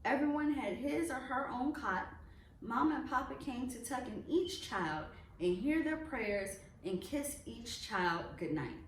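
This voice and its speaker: A woman reads a children's storybook aloud in a high, very lively voice that rises and falls widely. She gives phrases deliberate, expressive emphasis. The recording is roomy and echoey. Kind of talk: expressive read-aloud narration of a children's picture book. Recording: roomy echo, distant mic, faint noise.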